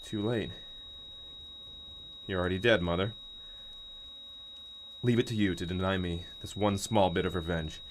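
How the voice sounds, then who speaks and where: A desperate grown son in a radio thriller, framed for murder and bent on revenge. His low voice is overwrought and prone to stammer under pressure. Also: steady tone, measured pace, pauses often, noisy background. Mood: bitter rage.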